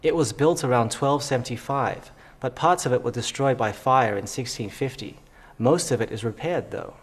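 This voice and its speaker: A man's voice: medium pitch, normal speed, slightly rough. An actor playing a helpful male local who tells a tourist about a castle.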